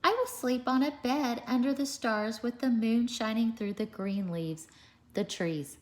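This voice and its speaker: A woman's voice: fairly high pitch, a little slow, darkish tone, pitched above the performer's own voice, close to an everyday voice. A woman voicing an eager, imaginative, earnest little boy in a storybook reading. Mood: eager, dreamy. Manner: earnest, enthusiastic.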